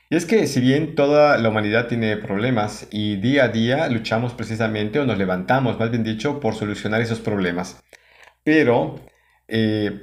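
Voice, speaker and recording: A man giving spontaneous, critical commentary as a reaction host, speaking in a halting, wordy way. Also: mid-range voice. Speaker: commentator reacting to a religious broadcast.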